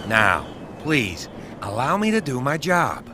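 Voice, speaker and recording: Brusque male cop, animated intonation, dismissive, video game, clear outdoor vehicle noise. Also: mid-range voice, takes it slowly. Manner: curt, dismissive. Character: brusque, harried male police officer. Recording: noisy outdoor vehicle ambience.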